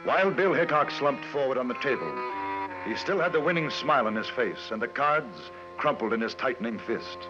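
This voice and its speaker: An actor playing an urbane English newspaper correspondent in the Wild West, measured and articulate, in a 1950s radio drama. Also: male voice, mid-range voice, lively intonation, loud music behind the voice, natural voice. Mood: grave, measured. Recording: muffled, band-limited vintage radio broadcast.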